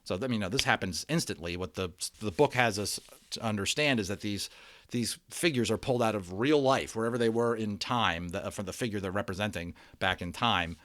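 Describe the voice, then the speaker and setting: A man reading a children's book aloud as a storyteller, expressive and dramatic, with conversational explanations for listeners. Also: on the low side, a little fast, no echo. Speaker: storybook reader.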